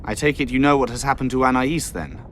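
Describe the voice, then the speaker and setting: A medium-pitched, haughty young noble acted by a man from a fantasy game. He speaks formally, with proud, bitter sarcasm, over a faint background noise. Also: steady tone, fast talker, natural voice. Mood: grave, haughty. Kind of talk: video game cutscene dialogue.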